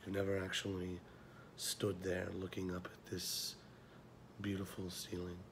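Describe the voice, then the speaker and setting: Film drama monologue: man playing wounded older mentor, lowish voice, restrained intonation, measured pauses, quietly confronting. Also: monotone, background noise. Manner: measured, pausing, drops to near whisper.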